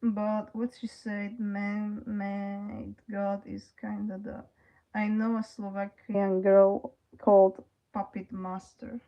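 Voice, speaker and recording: A young woman livestreaming, reading chat messages aloud and answering them hesitantly, with many pauses and fillers. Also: medium pitch, little pitch movement, lo-fi sound.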